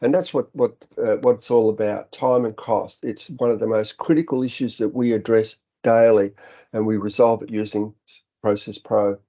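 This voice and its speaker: A middle-aged man with an Australian accent talks through a business webinar in an earnest, explanatory way, often pausing on ums and restarting words. His voice is mellow and dark, and the sound is muffled and lo-fi, as if from a computer mic on a video call. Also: on the low side, fairly even intonation. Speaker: business director presenting a webinar. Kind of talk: webinar presentation. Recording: dull, slightly lo-fi webinar audio.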